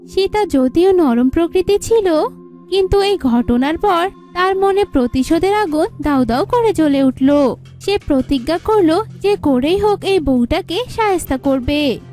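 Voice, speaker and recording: A woman's voice: very high voice, a little mellow. A woman narrating a scripted cartoon folk tale in a storytelling style. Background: music.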